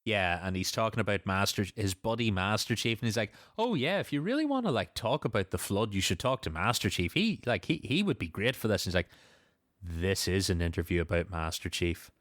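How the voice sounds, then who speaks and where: A man's voice: fairly low pitch, sing-song, a little fast, fairly bright tone, dry recording, studio quality. A man hosting a podcast, casually recapping and discussing a story with a co-host.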